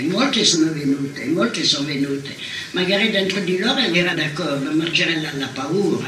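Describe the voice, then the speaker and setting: An older woman from Italy recalling her past in a conversational testimony. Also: low-pitched. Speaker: former partisan giving testimony. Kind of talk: personal oral-history testimony. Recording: echoey room, distant mic, faint noise.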